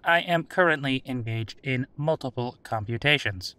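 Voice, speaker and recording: A man's voice: medium pitch, moderate pace, pushed and bright, clean tone, no room sound, close to an everyday voice. A man voicing an old artificial intelligence in an audio drama, formal, literal and emotionless in a stilted manner. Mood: cold, formal. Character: old male-voiced artificial intelligence, cold, literal, guarded.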